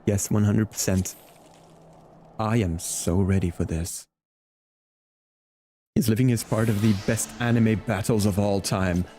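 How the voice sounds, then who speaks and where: Young man, casual stream chat, relaxed, chatty, game music behind. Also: lowish voice, a little slow, long pauses, slight background noise. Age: 20s. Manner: chatty, relaxed, chats with viewers while playing.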